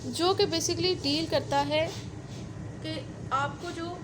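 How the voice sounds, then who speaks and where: A woman's voice: very high voice, steady tone, takes it slowly, noisy background. A woman with a Pakistani accent giving an explanatory law lecture, going step by step and repeating key points. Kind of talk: explanatory lecture, reading out and explaining legal articles.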